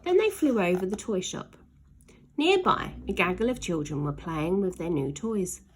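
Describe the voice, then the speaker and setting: A woman reading a children's book aloud as an expressive storyteller. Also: on the low side, moderate pace, slight background noise.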